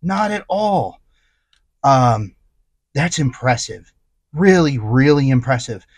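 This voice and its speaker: A man's voice: medium pitch, animated, takes it slowly, no room sound. A man giving a casual product review as host, stressing key words with emphatic repetition.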